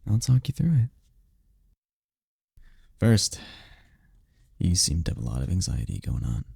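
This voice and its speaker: A man playing a tender, powerful incubus boyfriend in an intimate roleplay ASMR, speaking softly and soothingly with unhurried, caring murmurs. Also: wildly swooping pitch, no room sound, clean studio sound, exaggerated voice. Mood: soothing, attentive. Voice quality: deep, dark, gravelly, husky. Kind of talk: intimate roleplay ASMR, scripted comfort monologue. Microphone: close mic, dry.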